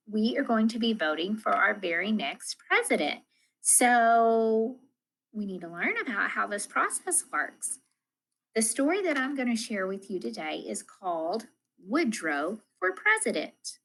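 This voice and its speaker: A woman reading a children's storybook aloud as a school teacher, in an expressive, deliberate manner addressed to young listeners. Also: medium pitch, creaky, rough recording. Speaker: elementary school math coach reading to pupils.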